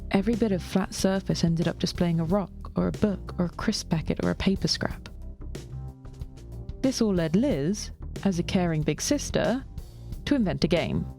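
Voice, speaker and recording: Female narrator, scripted fiction, dry wit, measured, gravelly vocal fry, faint background music. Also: lowish voice, animated, warm tone.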